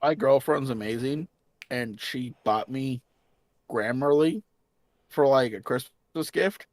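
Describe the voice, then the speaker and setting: A man's voice: mid-range voice, takes it slowly, dry recording. A man with a slight Southern accent, chatting casually as a stream guest and often restarting his sentences. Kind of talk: casual chat.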